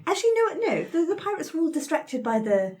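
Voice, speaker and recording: A woman's voice: sits high, very animated, brisk, darkish tone. A woman playing a tabletop game, improvising roleplay chat in a polite, coaxing, slightly hesitant manner. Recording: faint background noise.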